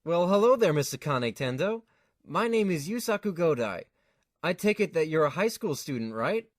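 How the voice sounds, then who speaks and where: A woman's voice: on the low side, sing-song, normal speed, dry recording, studio quality. A woman playing a friendly, easygoing young male student, mild and affable, in an audio drama. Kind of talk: fan audio drama acting.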